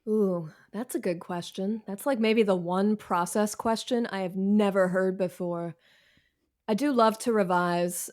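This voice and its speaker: A woman's voice: mid-range voice, steady tone, unhurried, a little mellow. A woman answering interview questions as a writer, candid and self-deprecating, with slangy, conversational phrasing. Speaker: guest, professional writer.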